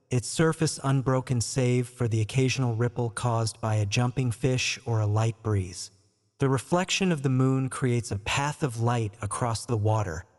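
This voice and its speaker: A man narrating a scripted bedtime meditation story in a gentle, soothing, measured way. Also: mid-range voice. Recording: long reverb tail.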